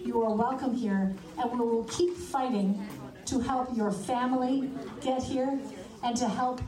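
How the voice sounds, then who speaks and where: A woman giving a slow, emphatic speech at a public event. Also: fairly high pitch, distant mic. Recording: roomy, from afar, crowd behind.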